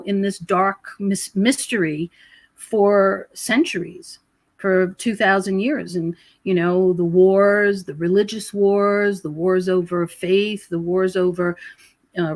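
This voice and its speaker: A middle-aged or older woman speaking as a podcast guest, explaining spiritual ideas conversationally in a rambling way with pauses and repeated phrases. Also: medium pitch, no echo. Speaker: podcast guest, researcher and author. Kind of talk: conversational spiritual commentary in an interview.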